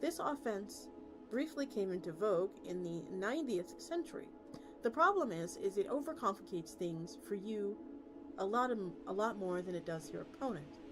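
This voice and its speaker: A woman streamer reads a story aloud in an expressive way, in a medium-pitched voice. She sometimes trips on a phrase and starts it again. Music plays clearly behind her. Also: normal speed. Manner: stumbles over words and restarts lines. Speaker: VTuber streamer. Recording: music playing under the voice.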